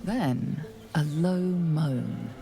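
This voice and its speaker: A woman playing the watchful narrator of a fantasy game, telling it in a measured, ominous way. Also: deep voice, vocal fry, warm tone, full character voice. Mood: grave, intrigued. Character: grave, watchful female storyteller of a fantasy game. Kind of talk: dramatic second-person scripted narration. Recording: music behind the voice.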